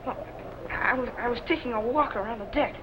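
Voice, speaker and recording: A man's voice: very high voice, a little fast. A young male actor playing a nervous, polite boy stowaway who stammers evasively in an old adventure serial. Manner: stammering, flustered. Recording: noisy old serial soundtrack.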